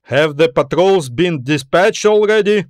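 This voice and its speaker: A fearsome admiral of a space empire, as a man narrating an audiobook puts him on. He is domineering and snide, issuing commands slowly and deliberately in a slightly high male voice. The sound is very dry. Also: expressive, dry recording. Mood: furious, incredulous. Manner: commanding, snide, barking questions. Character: fearsome, irritable, domineering military admiral in science fiction.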